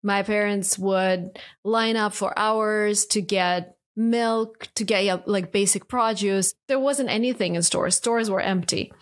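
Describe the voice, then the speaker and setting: A woman in her thirties from Russia, speaking English with a Russian accent as a podcast guest, animated and casual with many fillers, recorded at home on a desk mic. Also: medium pitch, unhurried, few pauses. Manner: animated, many likes and restarts. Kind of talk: casual interview. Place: home study with bookshelves, indoors. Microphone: studio mic on desk, earbuds.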